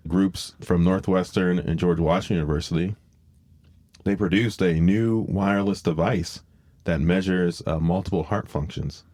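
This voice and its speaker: Casual podcast host, male, low voice, dry close sound, loose explanatory delivery. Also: moderate pace, a little mellow, no echo.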